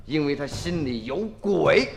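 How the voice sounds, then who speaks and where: A man's voice: a little high, lively intonation, unhurried, creaky, long reverb tail, faint music behind. An actor playing a sly male grave robber posing as a junk collector in a radio drama, coaxing and probing as he talks. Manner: wheedling, probing small talk.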